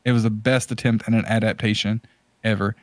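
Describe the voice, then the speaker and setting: A man's voice: lowish voice, little pitch movement, fairly quick, no room sound. Man chatting in a close, dry recording. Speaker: podcast host. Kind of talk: casual podcast conversation.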